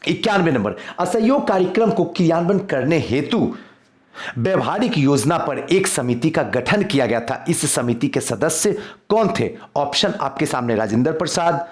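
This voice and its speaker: Animated man teaching. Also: a little high, moderate pace. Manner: emphatic, repeats questions and answers. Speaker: exam coaching teacher. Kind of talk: quiz lesson delivered to online viewers.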